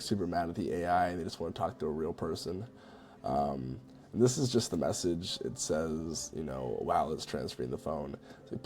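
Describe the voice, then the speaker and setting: A man with a low, creaky voice and a mellow tone. He speaks informally and conversationally, like someone walking viewers through a tutorial. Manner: informal, conversational, checks in with "right?" and "you know". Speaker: tutorial presenter. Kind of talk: casual screen-recorded software walkthrough.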